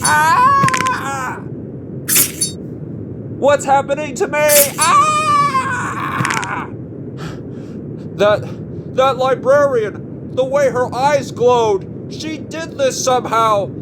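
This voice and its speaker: A man's voice: very high-pitched, big pitch swings, very slow, pauses often, very noisy, voice pushed up, exaggerated voice. A man playing a pompous politician who turns desperate, in a melodramatic comic-book horror reading. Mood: panicked. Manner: melodramatic, stammering.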